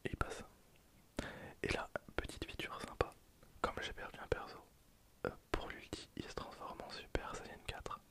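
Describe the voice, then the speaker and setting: A person whispering casual, slangy ASMR commentary over a video game, in breathy, hesitant phrases that trail off. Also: moderate pace, sharp tone. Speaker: host of an ASMR gameplay channel.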